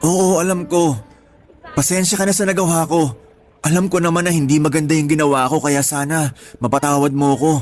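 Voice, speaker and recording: Pleading young Filipino man, played by an actor, highish voice. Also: little pitch movement, brisk, darkish tone, lightly acted. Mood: apologetic, pleading. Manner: earnest, pleading. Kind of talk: Tagalog radio drama acting.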